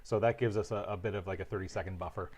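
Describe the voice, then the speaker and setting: A man co-hosting a board game livestream, calmly walking through setup and rules in a relaxed, explanatory way. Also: low voice, rapid-fire, slight background noise. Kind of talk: casual livestream rules teaching.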